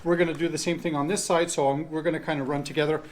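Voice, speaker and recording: A man's voice: fairly high pitch, little pitch movement, very fast, slight background noise. A man hosting a casual how-to tutorial, explaining step by step in a loose, hesitant way and often correcting himself. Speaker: host of a tutorial channel. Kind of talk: casual hands-on tutorial narration.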